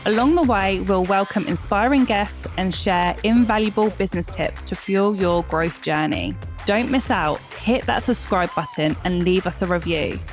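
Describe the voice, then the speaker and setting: Female intro announcer, polished and upbeat, mid-pitched, faint music bed. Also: normal speed. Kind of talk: scripted podcast intro.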